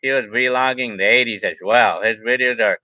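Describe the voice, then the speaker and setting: A man's voice: mid-range voice, moderate pace, pushed and bright, no echo, muffled recording. A man in his forties on a casual livestream, reading viewer comments aloud and rambling in a repetitive way, restarting his phrases. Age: 40s. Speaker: livestream vlogger.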